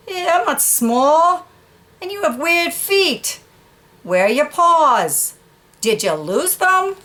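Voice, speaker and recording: A woman reading a children's picture book, voicing a small, feisty, defensive dog with emphatic, drawn-out retorts. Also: very high voice, big pitch swings, fairly bright tone, voice pushed up, exaggerated voice.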